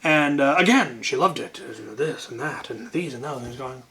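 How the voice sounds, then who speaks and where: A man's voice: medium pitch, expressive, normal speed, creaky, bright, forceful tone. A man telling an anecdote casually as a channel host, wryly, often repeating words as he gathers his thoughts.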